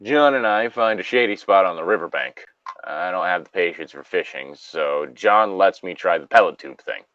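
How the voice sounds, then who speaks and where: A man with a low voice reads a story aloud in a loose, casual way. His pitch moves around a lot, and he drops in joking, sweary asides. The recording is dry and a little dull. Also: expressive, normal speed, a bit bright, no echo. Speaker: host reading a story aloud with commentary. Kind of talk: casual reading and banter.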